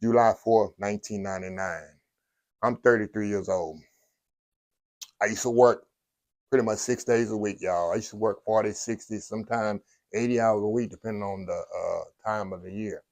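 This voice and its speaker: A man's voice: fairly low pitch, fairly even intonation, moderate pace, a little mellow, no echo. A man, earnestly recounting a personal story to his viewers and often repeating himself. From Texas. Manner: earnest, repeats phrases, addresses viewers as y'all. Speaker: vlogger sharing a personal story. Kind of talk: emotional personal testimony.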